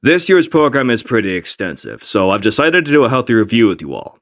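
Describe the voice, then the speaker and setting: An actor voices a stern schoolteacher in a comic dub. He is dry and sardonic, with a mid-pitched male voice that rises and falls in lively swings. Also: fairly quick. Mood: mock friendly. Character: stern, sarcastic male history teacher. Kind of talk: comic fandub acting.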